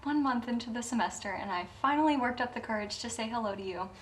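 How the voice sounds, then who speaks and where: A woman's voice: fairly high pitch, quick pace, lightly acted. A young woman playing a bubbly, bookish college student, eager, giggly and a little hesitant, in a web series. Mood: excited. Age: young adult. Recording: echoey room with faint noise.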